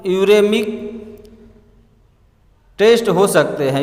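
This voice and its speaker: A man reads a scripted explainer in a halting way, addressing listeners as friends. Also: high voice. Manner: halting read-aloud delivery, often addressing listeners as friends. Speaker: presenter narrating an explainer. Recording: echoey room with long reverb.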